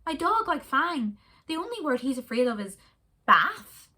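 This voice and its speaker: A woman's voice: high-pitched, sing-song, a little slow, bright, forceful tone, voice pushed up, heavily acted. Woman doing a cocky boy. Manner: cocky, offhand. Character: cheeky, bold young boy in a cape.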